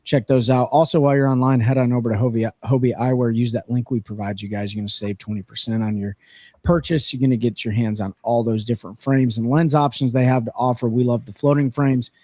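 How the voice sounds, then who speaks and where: A man co-hosting a fishing livestream, his voice hoarse and worn out, talking in an energetic, rambling way full of fillers as he runs through sponsor plugs and lake reports. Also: medium pitch, fast talker, no room sound. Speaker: fishing show co-host. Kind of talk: casual livestream chat with sponsor reads. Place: region of central North Carolina.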